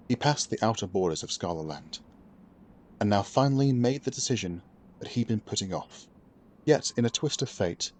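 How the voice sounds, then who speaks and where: Man narrating a fantasy audiobook, lowish voice. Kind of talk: scripted fantasy story narration.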